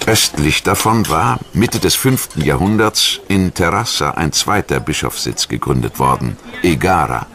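A man reading scripted documentary narration in a measured, formal manner. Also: very deep voice, lively intonation, a bit bright, a little hiss or hum.

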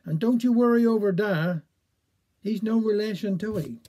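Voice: mid-range voice, normal speed, mellow, natural voice. A reader voicing a fond mother who talks in a homely, teasing way. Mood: reassuring. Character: fond, laughing Cornish mother.

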